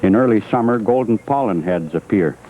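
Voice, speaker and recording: A man's voice: fairly low pitch, animated, a little fast, phone-quality sound, a little hiss or hum. A man reading scripted narration for an educational film, on an old 1980s film soundtrack.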